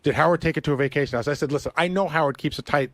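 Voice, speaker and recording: A man talks rapidly in a slightly high voice on a dry studio mic. He works on a radio show and explains things casually, step by step in choppy phrases. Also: a bit bright, no room sound.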